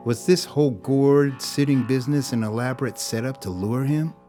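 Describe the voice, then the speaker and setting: A man's voice: mid-range voice, normal speed, mellow. A man narrating a scripted audio drama as a dramatic storyteller. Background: music.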